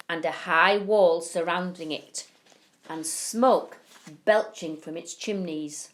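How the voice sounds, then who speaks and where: A woman with a slightly low voice narrates a story for young children. She takes it very slowly and deliberately, stretching key words for emphasis like a teacher reading to pupils.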